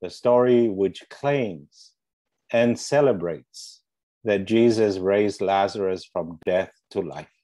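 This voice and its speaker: A man's voice: fairly low pitch, slow pace, dark tone, dry recording. A middle-aged man giving a scripted academic presentation over a headset on a video call. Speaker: panel presenter. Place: small room indoors, video call. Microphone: earphone headset.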